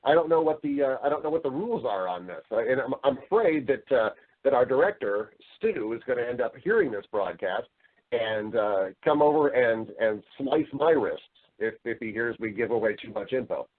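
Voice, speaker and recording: A man's voice: medium pitch, expressive, moderate pace, narrow, tinny audio. A man speaking as an interview guest, casual and wry, often restarting and rephrasing his sentences with self-deprecating humour. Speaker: interview guest, voice-over artist and actor.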